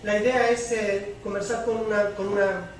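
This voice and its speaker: Male panel moderator, formal and measured, high voice, heard from afar in an echoey hall. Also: little pitch movement. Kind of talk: moderating a public panel debate. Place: large echoey room at a public event. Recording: echoey hall, distant mic, faint noise.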